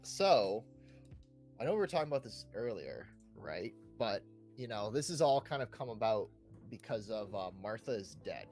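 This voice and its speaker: A man's voice: on the low side, very animated, moderate pace, a little mellow, faint music behind. A man hosting a casual podcast chat, talking in a chatty way and often checking in with "you know" and "right". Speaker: podcast host.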